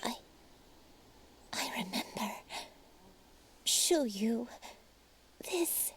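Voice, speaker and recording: Slow, frail old grandmother. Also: fairly high pitch, expressive. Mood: haunted remembering. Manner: slow, halting, breathy whispered reminiscing. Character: gentle frail old grandmother, sorrowful and loving. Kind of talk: video game voice acting.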